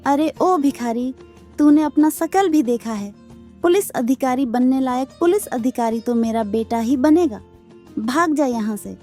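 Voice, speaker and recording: A woman's voice: high-pitched, animated, a little slow, mellow. A woman playing a haughty, scornful rich mistress in a cartoon story, scolding with contempt. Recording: music behind the voice.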